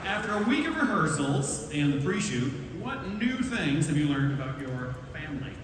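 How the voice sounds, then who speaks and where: A man's voice: on the high side, sing-song, normal speed. A man moderating a live panel at a convention, putting questions to guests. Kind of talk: live stage interviewing. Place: large convention hall, voice over PA. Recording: echoey hall PA, distant, crowd noise.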